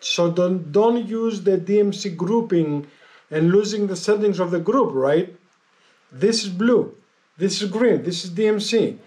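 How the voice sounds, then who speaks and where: A man with a high-pitched voice talks through a casual hands-on tutorial. He explains step by step, haltingly, often repeating his instructions as he goes. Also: a little mellow. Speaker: motorcycle gear vlogger giving a tutorial.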